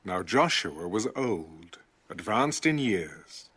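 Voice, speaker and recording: A man's voice: low voice, very animated, takes it slowly, fairly bright tone, breathy edge. A man narrating scripture in a dramatic, scripted style as an audio Bible reader. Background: music.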